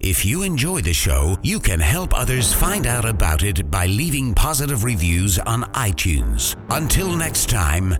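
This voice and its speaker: A man reading a scripted podcast outro in a lively way. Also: lowish voice, a little slow, hardly any pauses. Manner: lively read. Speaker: podcast host. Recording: music bed behind.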